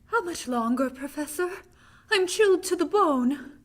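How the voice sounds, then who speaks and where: A woman's voice: high voice, expressive, normal speed, a bit bright, soft background music. An actress playing an anxious, tender young woman in a vintage radio horror drama, with an earnest, dramatic delivery. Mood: hushed, anxious.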